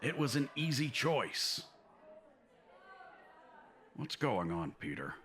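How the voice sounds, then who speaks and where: A man playing a grieving but resolute older newsman and fatherly uncle in a comic dub, measured and earnest. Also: medium pitch, sing-song, a bit bright. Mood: somber, resolute. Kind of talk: dramatic comic dub acting.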